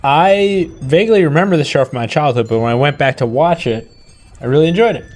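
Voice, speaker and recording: A man's voice: fairly high pitch, brisk, a little mellow. A man co-hosting a live stream, chatting casually and off the cuff. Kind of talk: casual chat, off-the-cuff quizzing. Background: music.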